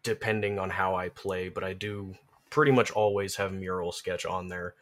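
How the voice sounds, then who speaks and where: A man with a fairly low voice talks casually in a podcast conversation. He thinks aloud, often halting and rephrasing as he goes. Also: steady tone, a bit bright. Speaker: podcast speaker. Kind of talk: casual conversation about game lore.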